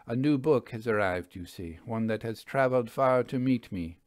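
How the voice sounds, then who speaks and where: A man voicing a vain, flirtatious male stage magician with a smooth, showy, deliberate delivery in a storybook reading. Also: mid-range voice, darkish tone, no room sound, clean studio sound, close to an everyday voice. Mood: polite, showy. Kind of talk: audiobook storybook dialogue.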